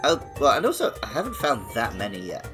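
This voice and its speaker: A man's voice: mid-range voice, wildly swooping pitch, fast talker. A man co-hosting a podcast in casual, enthusiastic gaming discussion. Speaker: podcast host. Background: background music.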